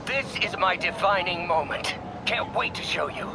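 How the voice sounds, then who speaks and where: An actor playing an eager, insistent scientist in a video game, heard over a noisy phone call. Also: male voice, high-pitched, normal speed, vocal fry. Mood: excited, insistent. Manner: eager, pressing. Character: excited, insistent male scientist, self-absorbed in his breakthrough.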